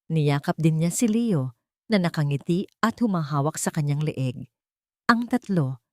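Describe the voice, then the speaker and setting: A woman's voice: sits low, a little mellow, no echo, clean studio sound. A woman with a Filipino accent reading a scripted, sentimental romantic drama as a storyteller.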